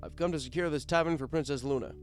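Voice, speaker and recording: A man's voice: fairly high pitch, quick pace, music in the background, close to an everyday voice. Guy doing a male pony royal guard captain, stiff n formal, clipped military talk, fantasy audiobook. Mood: businesslike.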